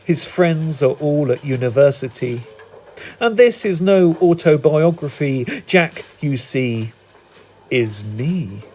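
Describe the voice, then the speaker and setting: Slow, theatrical British male poet. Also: on the high side, expressive, a little mellow. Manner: dramatic, whimsical, deliberate. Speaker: performance poet. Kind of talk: theatrical comic spoken-word poetry recital.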